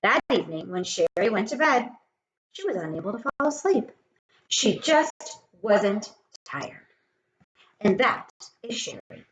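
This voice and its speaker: A woman reading a children's story aloud in an expressive way, speaking straight to young listeners. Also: mid-range voice, measured pace. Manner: expressive, addresses children directly. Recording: roomy echo with faint noise.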